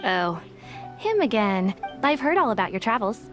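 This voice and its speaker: A woman voicing a shrewd, composed detective in a video game, speaking in a deliberate, storytelling manner. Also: mid-range voice, very animated, music in the background, natural voice. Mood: businesslike.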